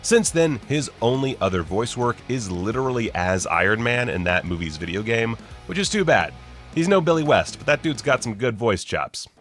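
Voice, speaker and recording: A man's voice: medium pitch, animated, normal speed, a bit bright, faint music behind. A man narrating a scripted list video as host, with wry, sarcastic, joking asides. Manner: wry, sarcastic, jokey mock-casual delivery.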